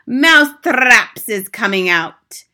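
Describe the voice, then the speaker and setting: A woman's voice: sits high, lively intonation, a little slow, bright, forceful tone, pitched above the performer's own voice, full character voice. A woman voicing a wicked, bloodthirsty old witch leader in a storybook, commanding and triumphant, speaking in broken, foreign-sounding grammar. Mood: shrieking, gleeful.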